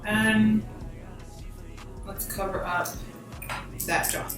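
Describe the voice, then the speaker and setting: A woman's voice: medium pitch, level tone, very slow. A young American woman giving a casual step-by-step tutorial in an informal, slangy, emphatic way. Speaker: beauty vlogger giving a makeup tutorial. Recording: very echoey room, distant mic, music behind. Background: background music.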